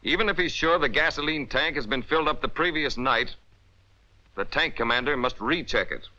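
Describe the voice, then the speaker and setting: A man's voice: medium pitch, moderate pace, bright, forceful tone. An American man narrating a 1940s military instructional film in an emphatic, instructional manner with clipped directives. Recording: dull, band-limited 1940s film soundtrack.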